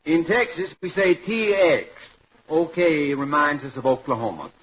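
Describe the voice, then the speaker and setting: An actor playing a disdainful Texan handyman with a drawn-out, condescending delivery in an old radio comedy. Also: a little high, expressive. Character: big-talking, disdainful Texan plumber handyman. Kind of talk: 1940s radio comedy acting. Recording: muffled, very noisy vintage radio.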